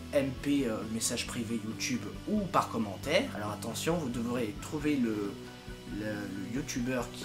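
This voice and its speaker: A man giving an informal, unscripted video update to his followers, rambling and halting as he goes. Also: medium pitch. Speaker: amateur fandub project leader and YouTuber.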